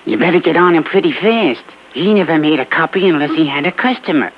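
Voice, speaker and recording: An actor playing a shrewd, wheedling small-time American informant in slangy, ungrammatical speech on an old radio crime drama. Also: male voice, fairly high pitch, animated, fast, natural voice. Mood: sly, insistent. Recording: muffled old radio recording, faint noise.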